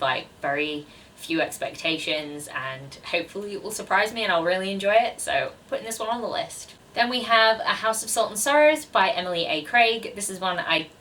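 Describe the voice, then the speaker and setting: Chatty 20s woman doing a book vlog, bright voice, casual and self-deprecating, bit of background noise. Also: medium pitch, distant mic, poor audio. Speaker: book vlogger. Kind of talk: casual chat to camera.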